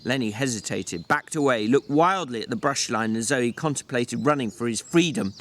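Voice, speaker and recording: A man's voice: mid-range voice, moderate pace, a bit bright. A man narrating a novel in a dramatic, literary reading style.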